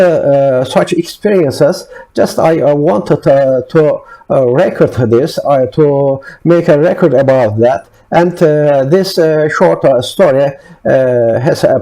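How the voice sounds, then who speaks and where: A man with a Turkish accent, hoarse from a flu, introducing his channel in a slow, hesitant way full of filler sounds. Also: fairly high pitch, dark tone, rough recording. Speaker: YouTube channel host. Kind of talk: halting spoken introduction.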